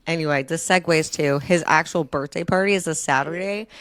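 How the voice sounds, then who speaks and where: A woman's voice: deep voice, brisk, dry recording. A woman in her early thirties co-hosting a podcast, telling personal stories in a casual, self-deprecating way. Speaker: podcast co-host. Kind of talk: casual chat, personal storytelling.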